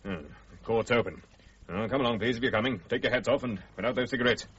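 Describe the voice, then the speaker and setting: A muffled, slightly noisy radio drama scene carries a sergeant at some distance. He is a brisk, curt man who speaks with official authority in a middling pitch. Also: fairly bright tone, husky. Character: brisk, gruff army sergeant shepherding reporters into court. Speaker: court sergeant.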